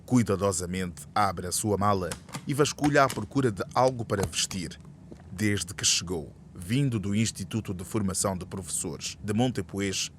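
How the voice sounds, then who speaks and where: A man with a Mozambican accent narrating a scripted radio drama in a measured storytelling manner. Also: deep voice.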